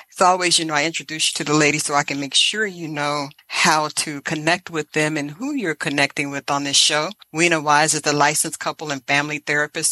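A woman's voice: deep voice, bright, forceful tone, dry recording, poor audio. A woman hosting a podcast in a conversational style. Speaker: podcast host.

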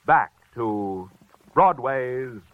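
A man's voice: mid-range voice, lively intonation, extremely slow. A man with an American accent announcing radio thrillers in a dramatic, punchy 1940s promotional style. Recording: tinny vintage radio broadcast recording.

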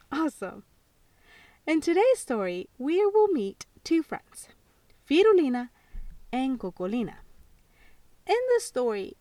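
A woman from El Salvador narrates a children's story with a high, lively voice. She reads slowly and gently, speaking straight to young listeners. The sound is dry and set a bit back from the mic. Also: dry recording, distant mic. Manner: gentle, animated read-aloud to children. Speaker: children's podcast host and narrator. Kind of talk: bilingual storybook narration for kids.

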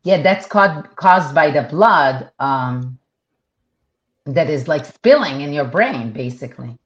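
A middle-aged woman from Israel with Hebrew as her first language talks in a very low voice. She chats loosely, full of "um" and restarts. The sound is dull and lo-fi. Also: lively intonation, takes it slowly. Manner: chatty, many fillers and restarts. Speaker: realtor guest. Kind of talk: casual interview talk.

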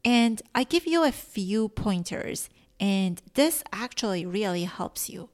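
A woman whose first language is Farsi, hosting a solo coaching podcast in an earnest, measured teaching tone with soft whispered stresses. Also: mid-range voice, animated. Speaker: life coach and podcast host.

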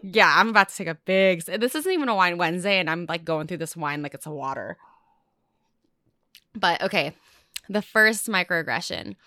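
A woman's voice: fairly low pitch, lively intonation, moderate pace, bright, forceful tone, no echo, studio quality. A young American-born woman hosting a casual podcast chat, talking freely with many fillers. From United States. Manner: chatty, lots of fillers. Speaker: podcast host.

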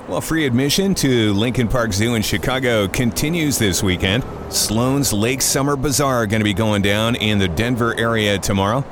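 A man's voice: medium pitch, noisy background. A man hosting a radio-style podcast, casual and chatty as he runs through segments. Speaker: podcast host. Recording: music bed behind voice.

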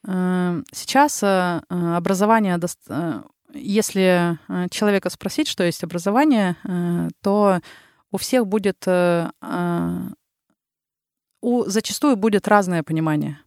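A woman in her thirties from the Urals with a Ural Russian accent, a psychologist talking slowly and reflectively as a podcast guest, with frequent filler sounds. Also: lowish voice, a little mellow, no echo, studio quality. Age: 30s. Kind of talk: casual interview talk.

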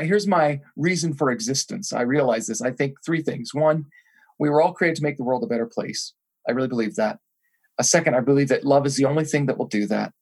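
A man's voice: medium pitch, breathy edge. A man who is a pastor, guest on a podcast, talking earnestly and reflectively about his calling. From grew up in Thailand. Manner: earnest, reflective, with some restarts. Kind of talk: interview guest answering.

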